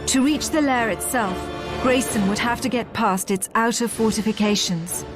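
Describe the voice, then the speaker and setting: An actress playing a composed woman officer who recounts a war in a measured, formal game narration. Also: fairly high pitch, natural voice. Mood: solemn, measured. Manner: measured, solemn, formal storytelling. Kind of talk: scripted game cutscene narration. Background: music.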